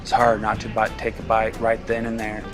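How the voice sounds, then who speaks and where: A man's voice: fairly low pitch, completely flat delivery, moderate pace. A man giving a dramatic first-person reading of a story as its narrator. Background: guitar music.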